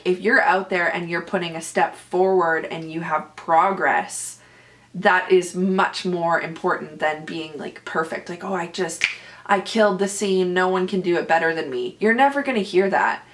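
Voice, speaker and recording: Chatty loud-voiced young woman podcaster. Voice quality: loud, boomy voice. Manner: chatty, animated, laughs often. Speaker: podcast host; actor. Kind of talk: casual solo podcast chat.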